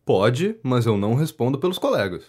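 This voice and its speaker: A male actor plays a well-mannered politician in a nineteenth-century comedy made for audio. His intonation moves in lively swings. He stays polite and reserved, with dry irony as he humours a flatterer. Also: mid-range voice, a little fast. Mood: wry, humouring. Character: courteous, wry politician wearily humouring a flatterer. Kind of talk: audio drama acting of a 19th-century comedy.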